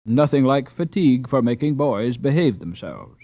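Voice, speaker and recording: A man's voice: mid-range voice, big pitch swings, normal speed, mellow, no room sound. A man reading a children's novel aloud as a scripted audiobook narration. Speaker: audiobook reader. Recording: slightly dull, dry recording.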